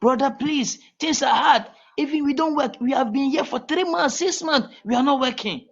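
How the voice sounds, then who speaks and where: A man from Ghana with a Ghanaian accent, talking as a guest in a casual call-in interview, halting and repeating his words. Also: extremely high pitch, vocal fry. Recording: muffled lo-fi call audio.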